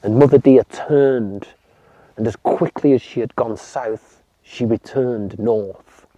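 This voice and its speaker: A man telling a folktale to children in a slow, gentle voice that often drops to a hush. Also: mid-range voice, no room sound, dull audio. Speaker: children's storyteller. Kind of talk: storytelling for children.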